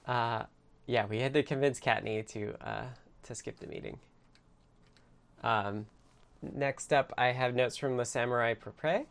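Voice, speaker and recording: Male meeting host, mid-pitched with gravelly creak, casual updates and note-reading. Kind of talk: casual online team meeting updates, partly reading notes aloud.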